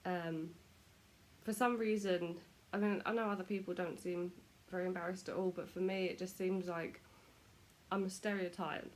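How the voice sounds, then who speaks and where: A woman's voice: on the low side, fairly even intonation, moderate pace, slight background noise, low-quality recording. Chatty British woman with a cold. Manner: chatty, self-deprecating. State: stuffy nose from a cold. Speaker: vlogger. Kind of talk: casual chat to camera.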